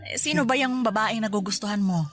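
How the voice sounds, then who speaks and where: A woman's voice: medium pitch, quick pace, natural voice. Affectionate teasing Filipino mother, played by an actress. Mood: curious. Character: affectionate, teasing mother of a college-age son. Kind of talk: Filipino radio drama dialogue. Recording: background music under the voice.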